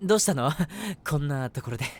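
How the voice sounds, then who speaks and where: A man's voice: a little high, fast, natural voice. A man playing a lovestruck, self-deprecating young man who narrates his crush in a chatty, flustered way in a voice drama. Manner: flustered, animated, self-mocking, talking to himself. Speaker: voice actor. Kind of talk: first-person situation voice drama, chatty narration with acted reactions.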